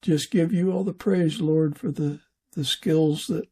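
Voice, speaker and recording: A man hosting an interview podcast, speaking in an earnest, unhurried way with a husky, gravelly voice. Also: a little high, mellow.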